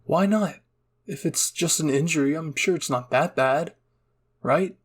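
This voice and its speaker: A man's voice: on the high side, measured pace, breathy edge. A man voicing a caring, earnest young boy in a fan-fiction story reading, coaxing and comforting a friend. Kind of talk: acted dialogue in a fan-fiction audio reading.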